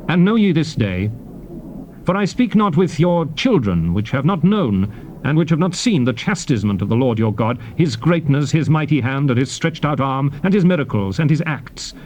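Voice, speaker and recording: A man's voice: medium pitch, a little mellow, lightly acted. An actor playing a solemn Hebrew prophet and lawgiver, exhorting his people in a declamatory dramatized scripture reading. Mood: grave, stirring. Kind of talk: dramatized King James Bible reading. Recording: noisy old cassette tape recording.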